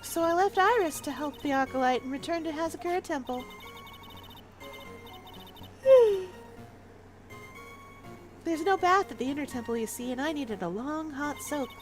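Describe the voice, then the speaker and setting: Woman doing an old nun with a bad back, raised pitch. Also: lively intonation, normal speed, long pauses, soft background music. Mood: recounting.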